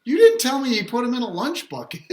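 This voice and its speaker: A man's voice: very high voice, wildly swooping pitch, brisk, a little mellow. A man, a father co-hosting a children's read-aloud, chatting casually with joking, teasing and mock-dramatic interjections. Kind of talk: casual playful chat and interjections.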